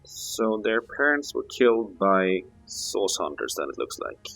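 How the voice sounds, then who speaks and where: Husky low-voiced man over faint music. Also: big pitch swings, a little slow, a bit bright, dry recording, low-quality recording. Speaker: co-op gaming partner. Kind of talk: casual Let's Play commentary.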